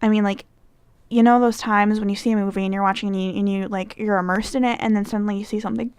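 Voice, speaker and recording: A woman's voice: mid-range voice, fairly even intonation, fast talker, darkish tone, clear, resonant tone, no echo. A young woman from Michigan with a Midwestern American accent, chatting casually through a fan questionnaire, rambling with lots of fillers and self-corrections. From Michigan, United States. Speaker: vlogger.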